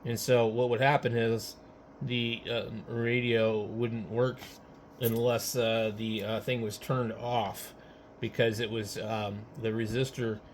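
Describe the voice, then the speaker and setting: Guy doing casual ham radio tech talk, kinda halting, thinking out loud, noisy background. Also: mid-range voice, level tone. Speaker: amateur radio operator. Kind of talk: casual technical chat.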